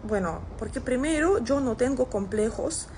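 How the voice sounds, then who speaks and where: A woman's voice: a little high, animated, moderate pace, a little mellow, slight background noise. A woman in her thirties from Russia, with a Russian accent, chatting casually to camera as she replies to comments. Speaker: social media content creator. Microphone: phone at arm's length.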